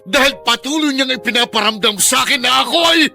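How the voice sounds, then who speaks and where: Anguished, piercing Filipino ex-convict. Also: male voice, extremely high pitch, a little fast, gravelly, bright, forceful tone, soft background music, exaggerated voice. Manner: intense, lamenting. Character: anguished former prisoner haunted by his past. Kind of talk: melodramatic radio drama monologue.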